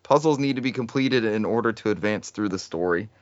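A man's voice: fairly low pitch, brisk, dry recording, lo-fi sound. A man hosting a casual gaming podcast, chatting and reading bits of trivia aloud. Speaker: podcast host.

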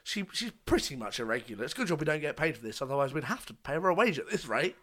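A man with a slightly raspy, worn voice, co-hosting a casual chat podcast and joking around. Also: a little high, big pitch swings, very fast, fairly bright tone. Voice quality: a bit raspy, hoarse voice. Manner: jokey, laughs often, mock-sings. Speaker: podcast co-host.

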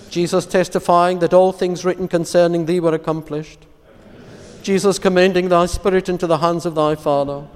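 A man solemnly reciting psalms, litanies and prayers in a measured, reverent, formal way as a prayer leader. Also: high voice, a little mellow, smooth. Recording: long echoing church-like reverb.

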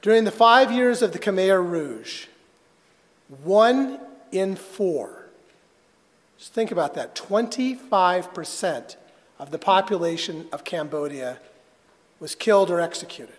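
A man preaching a sermon as a pastor, telling a personal story earnestly and haltingly, with dramatic pauses. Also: high-pitched, lively intonation. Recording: reverberant hall.

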